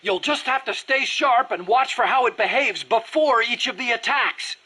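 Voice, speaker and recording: An earnest American weapons engineer from a video game, speaks in a high, sharp-edged voice. He is nerdy and precise, eager to explain every technical detail. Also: normal speed, slightly rough, exaggerated voice. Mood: anxious, urgent. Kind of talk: video game dialogue acting.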